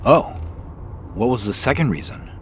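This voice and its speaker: Man playing ordinary American male, guarded, hesitant, casual, animated pitch, faint background noise, naturalistic audio drama. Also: normal speed, lightly acted. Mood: casual, curious. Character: guarded, puzzled ordinary man questioned by police.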